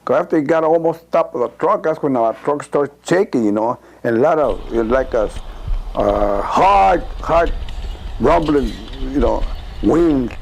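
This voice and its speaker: An older man from Texas recalls events informally as an eyewitness, halting and repeating himself as he tells it. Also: medium pitch, slightly rough. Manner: halting, repetitive, animated storytelling with "man" and "you know". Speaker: eyewitness interviewee. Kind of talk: informal spoken recollection.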